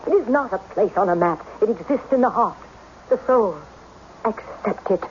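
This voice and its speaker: A woman's voice: medium pitch, lively intonation, moderate pace, darkish tone, lightly acted. An actress playing an intense, mysterious woman who probes souls in solemn, commanding phrases, in vintage radio drama style. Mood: solemn, hypnotic. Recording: dull, faintly noisy old radio recording.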